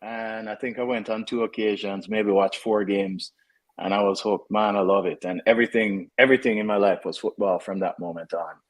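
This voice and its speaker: A Jamaican man in his fifties, a soccer head coach, talking casually in an interview with relaxed fillers and restarts. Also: lowish voice, fairly quick.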